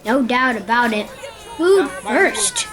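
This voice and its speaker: A woman's voice: on the high side, expressive, takes it slowly, crowd noise. A woman playing a weary, sarcastic male monk who grumbles drily in an amateur audio play. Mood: weary, dry.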